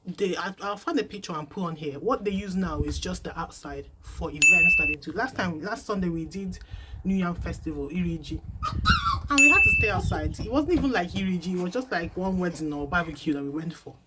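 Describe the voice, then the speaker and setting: A woman from Nigeria, talking casually to camera as a vlogger from her home, animated and full of repeated rhetorical questions. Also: fairly low pitch, a little fast, very noisy.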